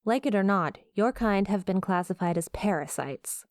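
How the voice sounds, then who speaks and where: A woman's voice: medium pitch, expressive, normal speed, dry recording, studio quality, close to an everyday voice. A woman playing a stern, cold scientist in an audio roleplay, speaking in a clipped, formal, clinical way. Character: stern, cold female scientist interrogating a monster.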